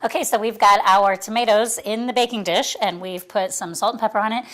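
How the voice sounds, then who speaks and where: A woman's voice: mid-range voice, fairly even intonation, brisk, a bit bright. Bubbly female cooking show co-host. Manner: bubbly, enthusiastic, laughs easily.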